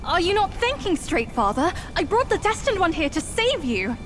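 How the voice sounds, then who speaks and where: A deceitful young demon daughter. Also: female voice, extremely high pitch, big pitch swings, normal speed, fairly bright tone, a little hiss or hum, full character voice. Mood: hurt. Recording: game cutscene with music.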